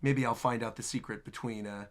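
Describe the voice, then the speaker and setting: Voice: medium pitch, brisk. A host reacting to game trailers in casual, exclamatory commentary, talking half to themself as they watch. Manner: animated, exclamatory, talks to self.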